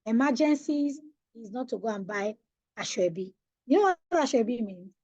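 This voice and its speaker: A Nigerian woman leads a finance webinar, stressing key words like a preacher and putting questions to her audience. Her voice sits high and a little husky. The sound is dry and slightly dull, like online webinar audio. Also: lively intonation, moderate pace, dark tone, dry recording. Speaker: financial coach. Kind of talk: webinar talk on personal finance.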